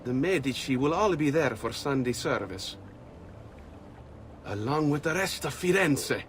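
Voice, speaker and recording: A man's voice: mid-range voice, lively intonation, measured pace, a bit bright, slight background noise. A man playing a sly, knowing Italian master thief in a video game cutscene, with an Italian accent and dramatic, teasing delivery. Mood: grave, concerned.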